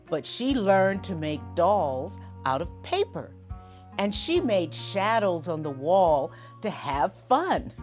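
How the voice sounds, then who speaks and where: Female preschool host and storyteller, highly animated, unhurried, sing-song and encouraging, with a light music bed. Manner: sing-song, encouraging, speaking to young children. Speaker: children's show host. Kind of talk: preschool storytelling and call-and-response. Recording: music under the voice.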